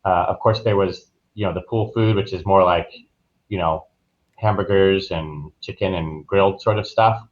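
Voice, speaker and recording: A man's voice: deep voice, normal speed. A man speaking as an interviewed travel advisor, explaining things in a casual, informal, loosely phrased way. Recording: dull, muffled lo-fi audio.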